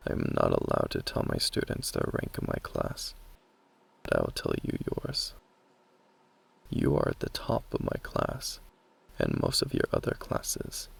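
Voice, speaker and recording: A man playing an earnest, caring magic academy teacher in a soft, whispery ASMR roleplay, speaking in a measured, gently formal way. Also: wildly swooping pitch, full character voice. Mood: earnest, encouraging. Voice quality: whispery, breathy, mostly unvoiced. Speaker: roleplay voice performer. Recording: low-quality amateur recording.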